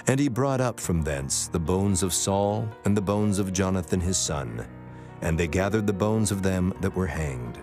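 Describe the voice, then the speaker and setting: A man narrating a dramatized scripture reading in a solemn, measured manner. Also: low voice, animated, a little mellow. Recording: prominent music behind the voice.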